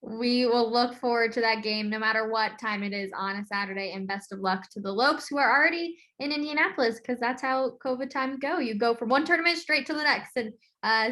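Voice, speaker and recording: A woman's voice: fairly high pitch, sharp tone. An American woman hosting a sports podcast in a conversational recap style.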